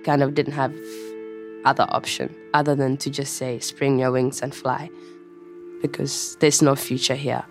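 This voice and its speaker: A woman's voice: extremely low pitch, little pitch movement, unhurried, faint music behind. A young woman from Somalia, a student speaking in a studio interview, telling her story casually with many fillers. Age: young adult.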